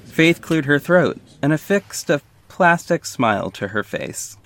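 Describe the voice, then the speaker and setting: A man's voice: a little high, sing-song, unhurried, a bit bright, exaggerated voice. A man playing a sarcastic, petty young company head who narrates an audio drama in a wry, dramatic way. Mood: petty, assertive. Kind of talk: first-person audio drama narration and dialogue.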